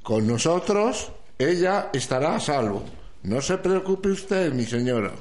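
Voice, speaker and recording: Hombre interpretando a un cacique respetuoso, con algo de ruido. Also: male voice, on the high side, sing-song, a little slow, darkish tone. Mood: reassuring. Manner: formal, deferential. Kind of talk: amateur radio drama acting. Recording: background noise.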